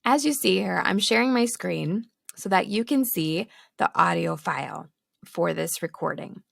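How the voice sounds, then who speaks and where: Hoarse woman with a gravelly creak. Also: fairly low pitch, lively intonation, a little slow, a bit bright, dry recording, studio quality. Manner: candid, laughing between points. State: losing her voice, hoarse. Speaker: podcast host. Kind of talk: casual teaching talk. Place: home with children nearby. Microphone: studio microphone, close.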